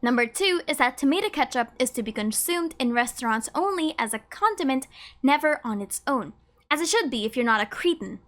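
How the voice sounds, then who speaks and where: Female video host, high-pitched and animated, playful scripted narration with chatty asides. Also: brisk. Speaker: host of a game trivia video.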